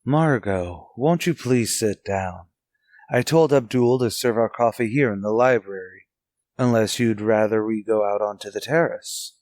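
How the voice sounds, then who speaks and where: An actor playing a suave, resolute gentleman hero in a radio drama, with a genteel, earnest, theatrical way of talking. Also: on the low side, unhurried, dry recording, close to an everyday voice. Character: suave, resolute gentleman secretly a crime fighter. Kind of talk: old-time radio drama acting.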